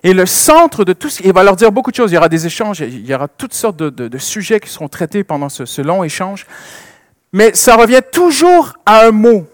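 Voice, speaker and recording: A man's voice: high voice, big pitch swings, a little fast, no echo, clean studio sound. A man with a French Canadian accent preaching a sermon with personal stories, emphasizing and repeating key phrases.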